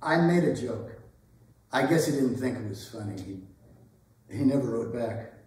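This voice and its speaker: A man playing a tender, regretful former pupil in a dramatic stage reading, with a halting, understated delivery. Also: mid-range voice, lively intonation, darkish tone, close to an everyday voice. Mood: rueful. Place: indoor arts center stage. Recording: roomy, distant stage recording.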